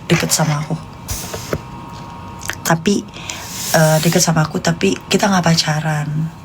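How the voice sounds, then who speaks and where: A woman's voice: low-pitched, darkish tone, slight background noise, poor audio. A woman in her late twenties with a Jakartan Indonesian accent, chatting casually and confidingly to live stream viewers in informal slang. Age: late 20s.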